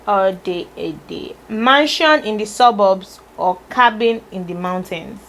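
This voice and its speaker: A woman's voice: mid-range voice, a bit bright. A woman vlogger reading out tag questions in a casual, teasing chat with her husband. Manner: playful, teasing, drawn-out musing.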